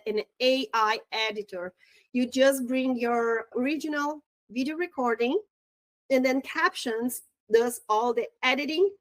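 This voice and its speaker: A woman's voice: high voice, fairly bright tone, dry recording, rough recording. A woman with a Brazilian accent, Portuguese her first language, giving a casual, upbeat training on tools, often restarting her words. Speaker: real estate trainer.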